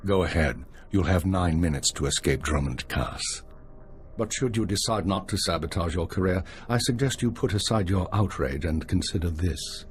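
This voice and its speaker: An actor playing a calculating, unflappable intelligence minister in a video game, measured and authoritative in his delivery. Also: sits low, lively intonation, slight background noise. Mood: cool, controlled. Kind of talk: video game cutscene acting.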